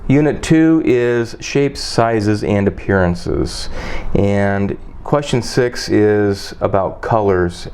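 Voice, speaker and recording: A man hosts a question-and-answer interview, speaking slowly and plainly. He reads out each question and then repeats the guest's answers back in clear, simple English. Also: lowish voice, darkish tone, slight background noise. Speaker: interviewer, show host. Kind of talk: structured interview, textbook question format.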